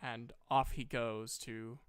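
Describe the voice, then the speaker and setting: A man running a tabletop game as game master, narrating deliberately and haltingly and chatting with players. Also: medium pitch, level tone, clear, resonant tone.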